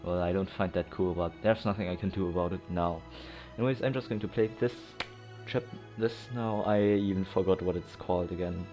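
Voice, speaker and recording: A man casually narrating as he plays a game, rambling and sighing over forgotten names. His voice is very low. Faint game music runs in the background. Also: steady tone, brisk, dark tone. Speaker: gameplay streamer. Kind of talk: casual gameplay commentary.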